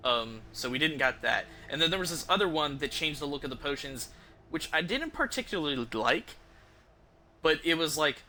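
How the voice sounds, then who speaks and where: A man talking casually on a game livestream, rambling in an informal, enthusiastic and halting way. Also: on the high side, expressive, pushed and bright, a little hiss or hum. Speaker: game streamer.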